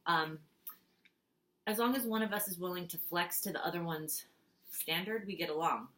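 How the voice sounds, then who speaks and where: A young woman from Switzerland speaks English, her voice bright and fairly low for a woman. She jokes, giggles and fills her pauses with "um", recorded in an echoey small room at home. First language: Swiss German. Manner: giggly, jokey, fills gaps with "um". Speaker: contestant. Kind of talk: casual audition tape.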